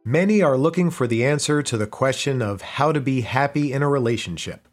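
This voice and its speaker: A man reading a prepared text aloud as narration, pausing between the items of each list. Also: medium pitch, lively intonation, faint music behind. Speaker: reader. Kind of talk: scripted narration.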